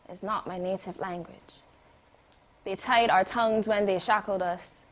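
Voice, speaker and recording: A Barbadian woman reciting spoken word poetry with a measured, rhythmic cadence. Her voice sits at an ordinary middle pitch for a woman. She swings between forceful lines and quiet, hushed ones.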